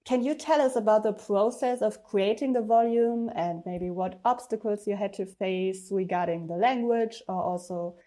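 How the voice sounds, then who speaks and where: A woman podcast host with a voice of middling pitch. She reads her introduction smoothly and then puts questions to her guests with a few fillers. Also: normal speed, few pauses, warm tone.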